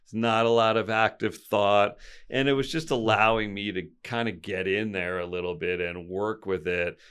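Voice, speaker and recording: Podcast guest, man in his fifties: deep smooth voice, open and reflective, thinks aloud with restarts. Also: steady tone, normal speed, a bit bright. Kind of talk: candid conversational storytelling.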